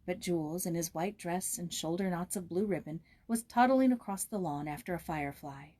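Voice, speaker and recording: Mellow woman reading a story aloud. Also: on the low side, normal speed, no echo. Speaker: storyteller, narrator. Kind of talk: read-aloud storybook narration.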